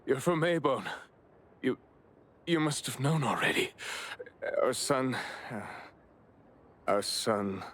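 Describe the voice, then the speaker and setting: Anxious, halting father. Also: medium pitch, lively intonation, fairly bright tone, a little hiss or hum. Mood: anxious, weary. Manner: halting, pleading, worried. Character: distraught, anxious father of a missing son. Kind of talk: video game voice acting.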